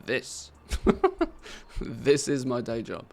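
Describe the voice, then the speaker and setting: A man's voice: mid-range voice, fairly even intonation, very slow, a little mellow. A British man chatting casually on a live game stream, reading out and answering viewers' questions with easy laughter. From Britain.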